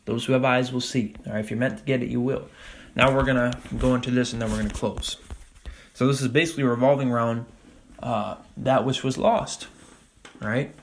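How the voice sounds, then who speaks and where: A man teaching a Bible study, talking casually with frequent "all right?" and reading scripture passages slowly and deliberately. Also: mid-range voice, fairly even intonation, slight background noise. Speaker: religious teacher.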